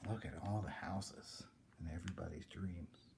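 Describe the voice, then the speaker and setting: A man reading a children's picture book aloud in an expressive, dramatic storytelling manner. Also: low-pitched, normal speed, vocal fry, noisy background.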